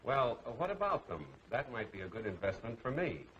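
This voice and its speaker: An actor playing a suave, evasive gentleman detective in a 1930s film, politely making his way through the talk. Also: mid-range voice, sing-song, brisk. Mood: inquiring. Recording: dull, noisy old film soundtrack.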